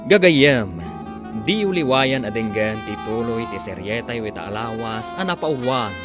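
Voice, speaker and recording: A man's voice: medium pitch, animated, moderate pace. Theatrical Ilocano male announcer over music. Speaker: radio drama announcer and narrator. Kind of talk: dramatic scripted narration. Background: music under the voice.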